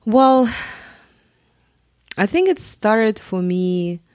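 A woman in her forties with a Russian accent, a guest on a podcast, speaking thoughtfully with frequent pauses and ums. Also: mid-range voice, lively intonation, a little mellow, no room sound, clean studio sound. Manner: thoughtful, hesitant with many ums. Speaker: guest artist and professor. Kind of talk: podcast interview.

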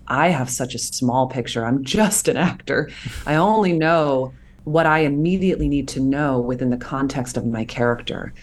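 A woman's voice: a bass voice, a little hiss or hum. A woman in her thirties, a voice actor being interviewed, talking earnestly and reflectively about her craft. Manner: earnest, reflective, animated when explaining. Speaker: voice actor guest. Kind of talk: interview conversation.

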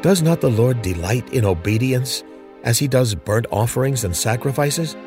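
A man's voice: medium pitch, expressive, moderate pace, over music, voice pushed up, close to an everyday voice. A man voicing a stern, unwavering old prophet who rebukes a king with firm, solemn pronouncements. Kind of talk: storybook drama reading.

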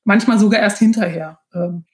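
A woman's voice: mid-range voice, moderate pace. Frank woman explaining in conversation. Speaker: guest, screenwriting and audio drama author. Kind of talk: conversational interview answers.

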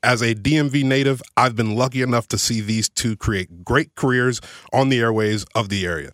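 A man's voice: mid-range voice, normal speed, fairly bright tone, no echo, clean studio sound. A man speaking as a sports podcast producer, casual and conversational, sometimes reading aloud.

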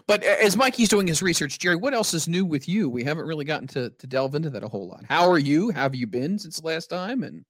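A man's voice: a little high, animated, quick pace, hardly any pauses, fairly bright tone, no room sound. A man hosting a casual podcast chat, joking around and asking his guests questions. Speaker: podcast host.

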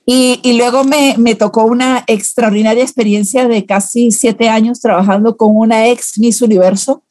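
A woman's voice: fairly high pitch, warm tone, no room sound. A woman, a public relations consultant speaking reflectively as a podcast guest, with frequent fillers. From Venezuela. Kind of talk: podcast interview.

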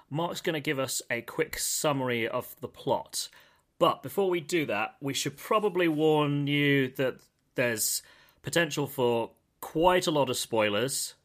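A man's voice: medium pitch, fairly bright tone. A man hosting a podcast, leading the talk in an upbeat way. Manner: upbeat, guiding the conversation. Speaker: podcast host.

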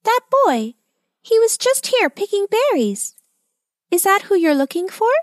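Woman voicing a tiny squeaky storybook bird, high-pitched. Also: big pitch swings, measured pace, dry recording, studio quality, exaggerated voice. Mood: chirpy, curious. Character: small squeaky talking blue bird, blunt and ominous. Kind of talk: children's storybook character voice.

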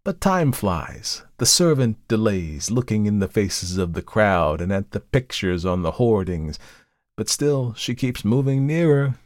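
A man voicing an uncanny devilish visitor who speaks politely, calmly and with kindly severity in a storybook reading. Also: on the low side, no room sound, studio quality. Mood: detached, urging. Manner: polite, measured, pleasant and unhurried. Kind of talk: dramatized literary storybook reading.

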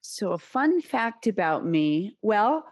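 A woman executive guest in a business interview, speaking in a measured, reflective way. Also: medium pitch, very animated. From United States.

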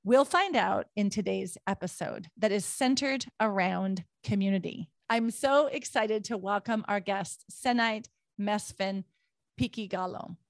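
A woman hosting a podcast interview, upbeat and quick to laugh, speaking in fast bursts. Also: medium pitch, gravelly, no room sound, studio quality. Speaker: podcast host.

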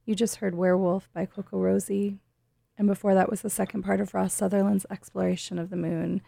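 A woman hosting a radio show in a casual, reflective way, often halting as she searches for words. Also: medium pitch, little pitch movement, vocal fry, warm tone, no echo, clean studio sound.

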